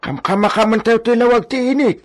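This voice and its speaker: Guy doing nervous respectful servant in a radio play, really high voice. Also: a little fast. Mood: anxious warning. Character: cautious, deferential male servant and guide. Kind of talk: Ilocano radio drama acting.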